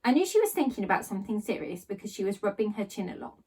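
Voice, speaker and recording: A woman reading a children's story aloud in an expressive, animated storytelling style. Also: mid-range voice, fast talker, slightly rough, far from the mic, poor audio. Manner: animated read-aloud delivery. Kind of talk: expressive storybook narration in first person.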